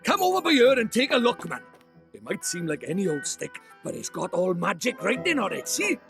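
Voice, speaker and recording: An actor voicing a small, cheeky, bossy fairy sidekick with a Welsh accent in a fantasy game. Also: male voice, high-pitched, wildly swooping pitch, fairly quick, gravelly, a bit bright, soft background music, full character voice. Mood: delighted. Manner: brash, teasing, colloquial, theatrical. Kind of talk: animated fantasy game voice acting.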